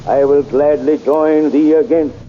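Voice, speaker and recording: An actor in a 1930s radio serial playing a noble, bearded warrior prince who speaks slowly and gravely in archaic thee-and-thou speech. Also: male voice, fairly high pitch, steady tone, a little hiss or hum, close to an everyday voice. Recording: dull old radio serial recording.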